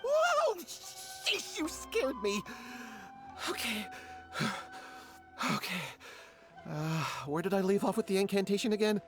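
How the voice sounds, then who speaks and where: A man's voice: sits high, very animated, slow pace, over music, full character voice. A man playing an earnest, jumpy, stubbornly hopeful struggling writer in a video game, fumbling and pleading. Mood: startled. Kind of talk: animated game dialogue.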